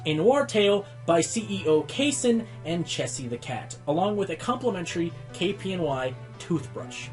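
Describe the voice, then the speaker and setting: A man playing a wry, easily exasperated pony radio host in a radio play. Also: high-pitched. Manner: wry, dry teasing. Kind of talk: radio play acting as presenter.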